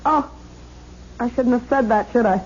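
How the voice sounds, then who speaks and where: A high-pitched young bride, chatty, anxious and earnest, in the theatrical manner of 1970s radio drama. The broadcast recording is dull and narrow. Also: female voice, normal speed, breathy edge. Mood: happy, affectionate.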